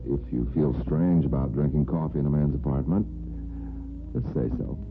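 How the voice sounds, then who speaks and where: An actor playing a friendly, persistent bachelor neighbour in a radio drama, easygoing and teasing. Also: male voice, extremely low pitch, moderate pace, slight background noise. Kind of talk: old-time radio drama acting. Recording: tinny vintage radio recording.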